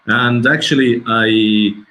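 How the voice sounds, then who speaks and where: A middle-aged man, a documentary filmmaker answering festival questions over a video call, pausing often and searching for his English words. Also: mid-range voice, steady tone, pushed and bright, a little hiss or hum. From Italy. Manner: hesitant, searching for words, many restarts.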